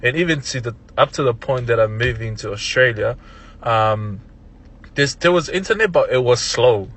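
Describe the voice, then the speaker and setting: A young man from Tonga with a Tongan accent, speaking English as a second language, chatting as a podcast guest in a relaxed way with frequent laughs and restarts. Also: mid-range voice, moderate pace, a little hiss or hum. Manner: laid-back, laughs often, many restarts. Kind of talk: casual interview chat.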